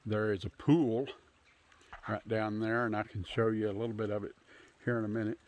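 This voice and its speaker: A man in his fifties giving a casual, unscripted tour outdoors on a handheld phone, pausing often as he talks. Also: fairly low pitch, little pitch movement, a little mellow. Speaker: homesteader hosting a channel. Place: outdoors in bare winter woods. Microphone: handheld phone at arm's length.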